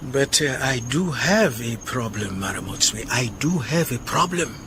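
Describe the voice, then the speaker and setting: An actor playing a shy, kindly, worrying mechanic with a Southern African accent in a radio drama, speaking formally and hesitantly. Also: male voice, medium pitch, expressive, a little slow. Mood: nervous, earnest. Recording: noticeable background noise, chirping night insects.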